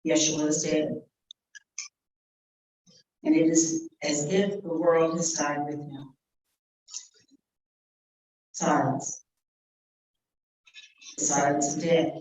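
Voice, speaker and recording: A woman performing a live dramatic monologue as a grieving woman disciple at the crucifixion, speaking in an anguished, pleading, halting way. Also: sits low, lively intonation, a little mellow, a little hiss or hum, heavily acted. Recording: echoey room, distant mic.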